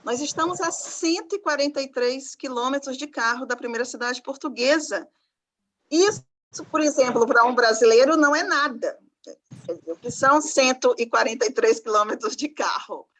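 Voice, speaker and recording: A woman with a Northeastern Brazilian accent from Maranhão, giving an online talk as a professor and presenting a radio project, pausing often with fillers. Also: sits high, a bit bright, poor audio. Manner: thoughtful, with frequent fillers and pauses. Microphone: video call.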